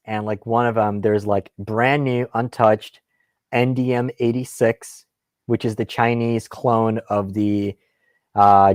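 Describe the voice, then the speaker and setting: A man's voice: fairly low pitch, a little mellow, no echo. Rambling male live streamer, native Russian speaker. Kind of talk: casual Q&A chat about guns.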